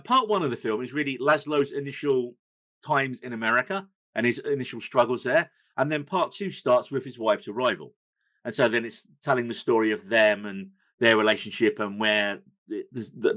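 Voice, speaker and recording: A man's voice: mid-range voice, moderate pace, fairly bright tone. A man hosting a podcast, chatting about films in a rambling, enthusiastic way with plenty of fillers. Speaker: podcast host. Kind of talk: casual film review chat.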